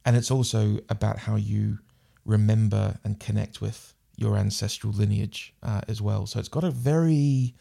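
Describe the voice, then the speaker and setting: A man's voice: on the low side, fairly even intonation, normal speed, creaky, hardly any pauses, mellow. A man with a New Zealand accent, a podcast guest talking thoughtfully in casual conversation, often restarting and repeating words. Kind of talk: casual interview chat.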